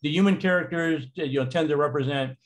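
Man, teacher voice over slides, relaxed and casual, many fillers. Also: fairly high pitch, brisk, rough recording. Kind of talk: recorded slideshow lecture.

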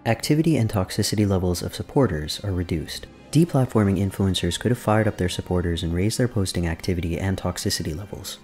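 A man reading a research summary aloud in a flat, formal way, over faint background music. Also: low voice, fast talker, hardly any pauses.

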